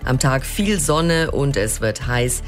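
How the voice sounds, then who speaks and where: A man presents radio news in standard German, reading from a script. His voice sits at a medium pitch. Faint music runs behind him. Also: animated, takes it slowly.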